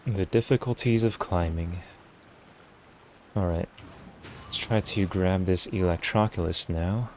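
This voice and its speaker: A man's voice: sits low, measured pace, long pauses, a little mellow, a little hiss or hum. A man streaming a game live, casually commenting and reading dialogue aloud.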